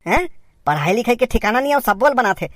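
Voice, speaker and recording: Woman as a high-voiced, scolding Chhattisgarh village parent. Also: big pitch swings, fast talker, no echo, studio quality. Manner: scolding, questioning, exasperated. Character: nagging, scolding village parent, strict about studies. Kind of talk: comic cartoon dialogue.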